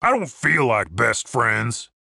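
A big, gruff brute of a man, acted by a woman, raspy and forceful. He is dim, blunt and sullen, a sulky adventurer in a comic fantasy audiobook, recorded very dry. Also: rumbling low, big pitch swings, slow pace, no echo, pitched below the performer's own voice, full character voice. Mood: resentful.

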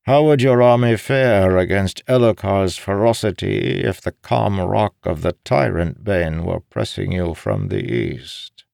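A man acts out a sly old male prisoner in a fantasy audiobook. He talks slowly and pleasantly with quiet menace, his pitch lifted and his delivery calculating, in a dry close recording. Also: expressive, dry recording, heavily acted. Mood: persuasive, idle. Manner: smooth, unhurried, calculating. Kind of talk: dramatic audiobook character reading.

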